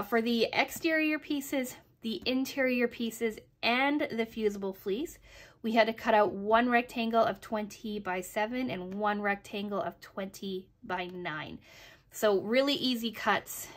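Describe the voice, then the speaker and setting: A woman with a slightly hoarse voice, giving a casual, chatty craft review with hesitant phrasing and little check-ins. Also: medium pitch, a bit bright. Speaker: sewing pattern reviewer. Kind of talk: casual review narration over project photos.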